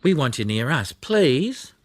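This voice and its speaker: A man voicing a kindly, fussing mother koala who gently scolds, in a children's storybook. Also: medium pitch, sing-song, slow pace, no echo. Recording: music behind the voice.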